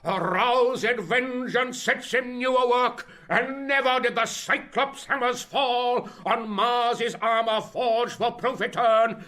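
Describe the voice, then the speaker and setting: A high, bright-voiced stage player performed by a man declaims tragic verse. He takes it slowly and solemnly, with theatrical fervour, as in a Shakespearean audio drama. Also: heavily acted. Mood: fierce, impassioned. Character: travelling stage actor declaiming a tragic war speech, solemn and fervent.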